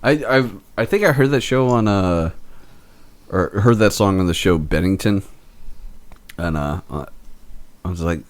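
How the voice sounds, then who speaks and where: A man in his late twenties with a fairly low voice hosts a relaxed podcast chat. His delivery is halting, with frequent stammers as he works toward his point. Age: late 20s. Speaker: podcast host. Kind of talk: casual chat.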